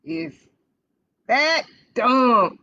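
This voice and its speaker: A woman's voice: high-pitched, animated, drawn-out words, sharp tone, exaggerated voice. A woman voicing a blunt, gossipy rabbit from a children's storybook, given to exclaiming. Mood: incredulous. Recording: dull lo-fi livestream audio.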